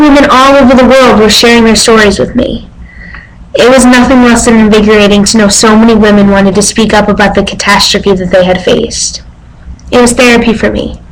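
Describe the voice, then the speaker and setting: A woman's voice: a little high, natural voice. Woman acting an earnest, wounded woman in monologue. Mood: uplifted. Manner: earnest, confessional storytelling. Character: earnest, wounded young woman therapist and survivor. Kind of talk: dramatic stage monologue. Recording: noisy webcam microphone with room noise.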